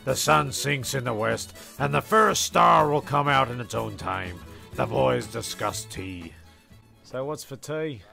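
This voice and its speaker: A man's voice: medium pitch, unhurried, vocal fry, sharp tone, faint music behind. A man delivering scripted comic narration in a dry, mock-dramatic, crude way. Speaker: narrator of a comedy sketch series.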